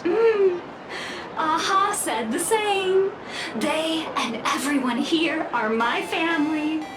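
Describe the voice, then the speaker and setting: High, echoey gentle robot woman. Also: animated, takes it slowly, recorded from a distance, music in the background, full character voice. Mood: serene joy. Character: gentle female robot, earnest factory-made outcast who found belonging. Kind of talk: scripted video game acting.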